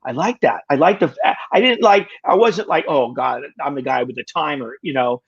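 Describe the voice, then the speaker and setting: A man of about sixty, a guest in a casual conversation, talking in halting bursts with lots of restarts and wry self-deprecating jokes. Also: medium pitch, gravelly, slightly rough, dull audio. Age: 60s. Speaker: podcast guest.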